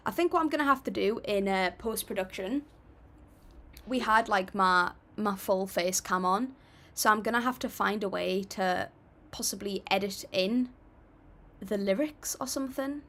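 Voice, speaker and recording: A woman's voice: medium pitch, moderate pace, a bit bright. A young woman hosting a casual livestream, chatting and reading viewer comments aloud in an upbeat, apologetic way. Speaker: livestream music reactor.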